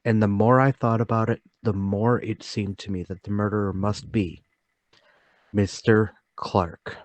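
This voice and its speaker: A man playing an earnest, troubled but determined accused man in a radio play table read, speaking deliberately. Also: on the low side, steady tone, natural voice. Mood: resolute.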